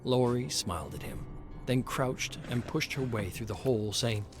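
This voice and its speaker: A man's voice: mid-range voice, lively intonation, moderate pace. A man reading a scripted story as its narrator. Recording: music behind the voice. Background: music.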